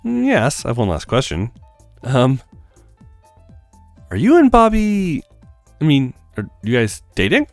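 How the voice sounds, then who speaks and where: A man's voice: medium pitch, huge pitch swings, a little slow, pauses often, no room sound, faint music behind. A man voicing a shy, kindly young woman who is tentative and polite, in a visual novel. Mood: tentative, curious. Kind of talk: acted visual novel read-aloud.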